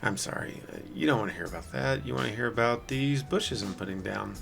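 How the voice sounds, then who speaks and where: A man with a raspy, hoarse voice giving rambling, self-deprecating casual commentary as a gameplay video host. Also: mid-range voice, a little fast, a bit bright, soft background music. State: hoarse from singing the night before.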